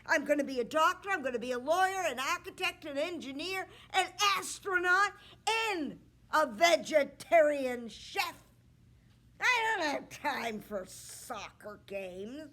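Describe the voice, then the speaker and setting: Woman playing a loud, bragging young prince: high, bouncy, bright and cocky. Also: animated, full character voice. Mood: indignant. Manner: loud bragging, rapid boasting lists. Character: boastful self-absorbed young prince suitor, male.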